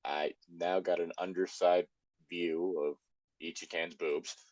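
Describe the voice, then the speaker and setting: A man's voice: low voice, level tone, takes it slowly, a little mellow, dry recording, dull audio. A man chatting casually as a co-host, teasing and swearing lightly as he comments. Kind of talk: casual banter.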